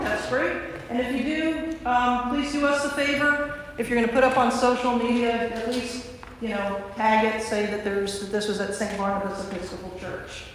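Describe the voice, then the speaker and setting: A woman priest speaking to a gathering as host and narrator in a church. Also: fairly high pitch. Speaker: priest and narrator of a staged reading. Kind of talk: informal welcome address, then scripted narration. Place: large indoor church space. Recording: echoey church hall from far off, faint noise.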